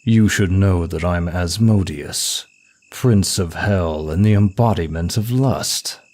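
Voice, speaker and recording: A demon prince of lust played by a man speaks in a low voice, taking his time over each phrase. He is suave, smug and taunting, an arrogant seducer in an intimate audio roleplay. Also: slow pace, darkish tone. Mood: proud, scornful. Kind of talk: intimate ASMR roleplay monologue.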